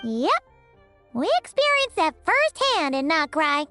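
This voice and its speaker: A woman voicing a tiny, childlike, excitable and easily indignant companion girl in an animated video game. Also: high-pitched, slow, soft background music, heavily acted. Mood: curious. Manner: refers to self in third person, exclaims.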